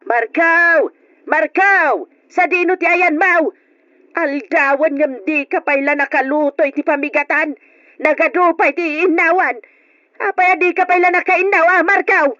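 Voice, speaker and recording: A woman with an Ilocano accent playing the lady of a household, theatrical and expressive, in old radio melodrama style. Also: extremely high pitch, measured pace, no room sound, full character voice. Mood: shrill, annoyed. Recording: dull old radio recording.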